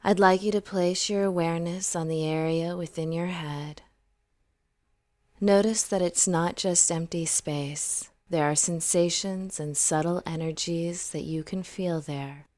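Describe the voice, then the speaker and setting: A woman with a slightly low voice leads a guided meditation very slowly. She is gentle and soothing, letting her phrases trail off softly. The sound is close and very dry, as in a scripted voice-over. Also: steady tone, no echo.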